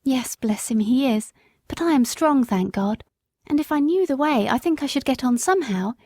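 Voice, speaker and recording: A poor young mother from the country, as a woman reader puts her on, pleading in a high, soft storybook voice. She sounds anxious and humble, and the voice sits well above the reader's own. The recording is dry and close. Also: animated, normal speed, dry recording.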